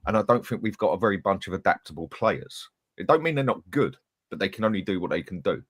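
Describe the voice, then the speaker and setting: A man's voice: sits low, lively intonation, fast talker, a bit bright, dry recording, clean studio sound. A man, blunt and decisive, closing down the argument in a casual football debate.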